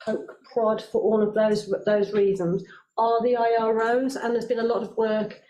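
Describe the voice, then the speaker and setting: A woman explains children's services matters to a scrutiny committee in a steady, measured way, pausing with ums as she goes. She speaks loudly without a working microphone in a reverberant council chamber, with a faint hiss behind her. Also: fairly high pitch. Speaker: children's services senior manager. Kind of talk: council committee meeting answers. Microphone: microphone not working, speaking loudly. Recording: roomy meeting room, faint noise.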